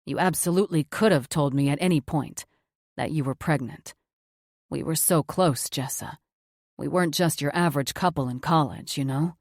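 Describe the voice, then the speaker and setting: A woman voicing a tender, remorseful man, a doctor, speaking low and earnestly. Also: moderate pace, dry recording, clean studio sound.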